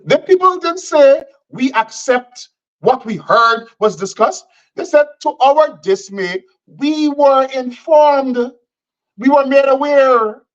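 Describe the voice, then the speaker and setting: A man's voice: very high voice, lively intonation, slow pace, highs cut off. A man from Guyana, delivering combative political commentary and repeating phrases for emphasis.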